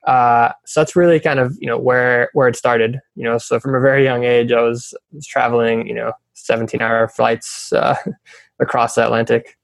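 A man from South Africa who now has an American accent, speaking as a podcast guest. He talks hesitantly and fills his pauses constantly. His voice is gravelly and flat in pitch, recorded dry. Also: no room sound. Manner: hesitant, full of fillers. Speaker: podcast guest, company founder. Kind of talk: casual interview.

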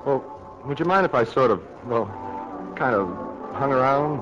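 A man's voice: medium pitch, expressive, close to an everyday voice. An actor playing a smitten, boyish young man in a 1940s radio drama, eager and hesitant. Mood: bashful, smitten. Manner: hesitant, halting courtship talk. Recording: muffled 1940s radio broadcast over loud music.

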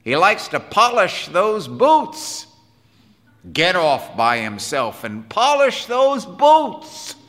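A man voicing a gruff, hard-nosed, angry father in an audiobook, with blunt, folksy, forceful talk. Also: high voice, very animated, slow, in a large hall, pitched above the performer's own voice, exaggerated voice. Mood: rueful. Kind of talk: audiobook character dialogue.

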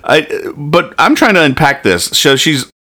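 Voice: mid-range voice, big pitch swings, measured pace, bright, forceful tone. A podcast host chatting casually and jokingly about comic strips, often restarting as thoughts form.

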